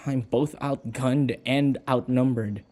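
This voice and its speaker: Man playing a nervous, stammering submissive servant, slow-paced. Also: mid-range voice, fairly even intonation, a little mellow, lightly acted. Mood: brooding, resigned. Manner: hesitant, stammering, anxious, with sighs and muttered asides. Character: nervous submissive human servant held captive by a vampire mistress. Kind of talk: audio roleplay acting, spoken to the listener.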